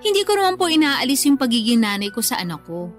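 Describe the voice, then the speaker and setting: A woman's voice: high-pitched, big pitch swings, fast talker, soft background music. A woman playing a weary, stubborn young Filipina single mother, defensive and resentful, in a radio drama. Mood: pleading, stubborn. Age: young adult. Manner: plaintive, defensive.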